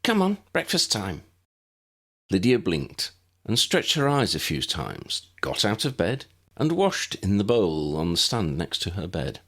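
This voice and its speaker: A man's voice: low voice, big pitch swings, measured pace, a bit bright. A man narrating an audiobook story in a scripted storytelling style.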